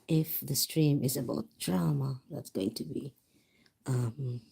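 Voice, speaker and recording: A Filipino-accented livestream host talking slowly and softly from home. The voice is husky with a gravelly edge. The tone is mellow, easygoing chat with viewers. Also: medium pitch. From Philippines. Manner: speaking softly and quietly to avoid disturbing neighbors. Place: home, indoors.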